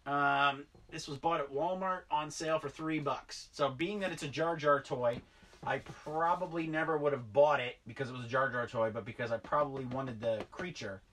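Man, 40s, bright crisp voice, chatty and rambling, self-correcting, basement toy room, faint hiss behind. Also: mid-range voice, normal speed. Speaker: toy collector vlogger. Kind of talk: casual unboxing chat.

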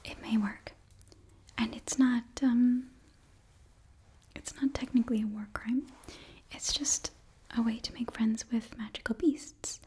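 A young woman playing an earnest, flustered witch librarian in a whispered, breathy ASMR roleplay, speaking haltingly close to the microphone. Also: a little high, steady tone. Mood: apologetic, earnest. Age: young adult. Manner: hushed, halting, fussy. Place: small indoor set, close to microphone.

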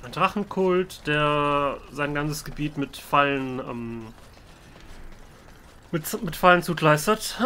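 A man giving in-character roleplay commentary on a game, halting and stammering, with a wry manner. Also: fairly high pitch, bright, forceful tone, a little hiss or hum. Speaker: let's player roleplaying as his game character.

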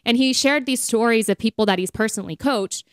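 A woman's voice: fairly high pitch, fairly quick, dry recording. Chatty American woman podcaster, close dry sound. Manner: chatty, emphatic, heavy use of "like". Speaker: podcast co-host. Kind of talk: casual conversational podcast talk.

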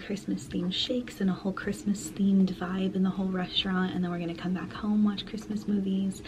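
A woman's voice: medium pitch, noisy background. A woman in her twenties chatting casually to the camera in her bedroom, upbeat and full of "like" and "um". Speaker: vlogger. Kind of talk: casual vlog chat to camera. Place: small bedroom at home, indoors.

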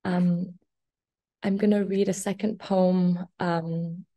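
A woman in her thirties, born in Afghanistan with Farsi as her first language, reads poetry in a measured, deliberate way over a video call from a small office. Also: fairly low pitch, little pitch movement, warm tone. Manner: measured, deliberate recitation with long pauses. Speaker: poet. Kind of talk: slow poetry reading. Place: small office room indoors. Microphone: laptop webcam video call.

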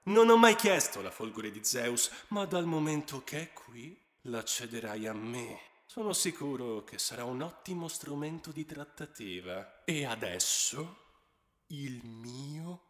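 A man voicing a scornful, menacing male god of the underworld in slow, low tones, with a heavy reverb effect on his voice. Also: very animated, a bit bright. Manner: slow, low, threatening delivery. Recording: cavernous echoing reverb effect.

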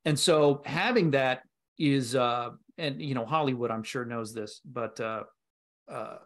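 Male, middle-aged, medium pitch. Informal, rambling interview guest with a salty streak, on a video call. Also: measured pace, fairly bright tone. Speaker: guest, audio drama voice actor. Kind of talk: casual interview chat. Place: indoor room, video call.

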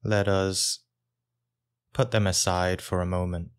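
A man leading a guided meditation as a teacher, speaking calmly in short phrases with long pauses. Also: deep voice, smooth.

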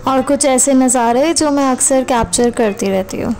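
Young female vlogger, higher voice, chatty casual narration over prominent music. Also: moderate pace, darkish tone. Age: young adult. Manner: chatty, addresses viewers with questions. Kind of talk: casual travel vlog narration. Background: background music.

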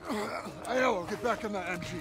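An actor playing a harsh, sarcastic American army sergeant who barks orders in a war video game. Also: male voice, high-pitched, brisk, over music. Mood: curt, sarcastic. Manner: gruff, sarcastic, barking orders. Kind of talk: cinematic war game acting. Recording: battle and outdoor noise behind.

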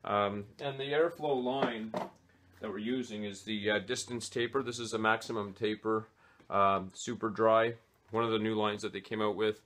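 Man with a low voice, halting gear talk. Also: fairly even intonation, fairly bright tone, slight background noise. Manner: hesitant, many "uh" fillers. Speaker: fly fishing vlogger. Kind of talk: casual gear talk to camera. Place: small home office, indoors.

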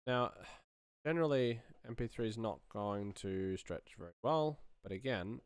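A man's voice: fairly low pitch, animated, normal speed, smooth, no room sound. A man in his thirties giving a casual demonstration as a sound designer, thinking aloud at a close mic. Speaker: sound designer, tutorial host.

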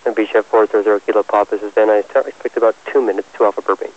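A man's voice: on the low side, steady tone, rapid-fire, a little mellow. A man working as an air traffic tower controller, speaking in clipped procedural phrases over aviation radio. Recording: muffled, lo-fi airport radio transmission.

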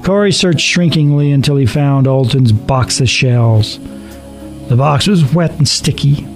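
Male narrator for dramatic horror audio story, deliberate and suspenseful, music bed behind. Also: medium pitch, a little mellow. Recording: narration over background music.

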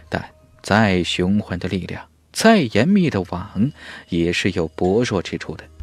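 A man's voice: fairly low pitch, no echo. A man reading dramatic scripted fantasy narration as an audiobook narrator. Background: faint music.

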